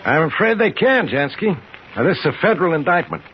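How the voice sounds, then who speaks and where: Animated, shrewd mob lawyer. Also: male voice, fairly high pitch, a little fast. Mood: urgent. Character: shrewd, pragmatic male mob defense lawyer. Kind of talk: 1950s radio drama acting. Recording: muffled, slightly noisy 1950s radio recording.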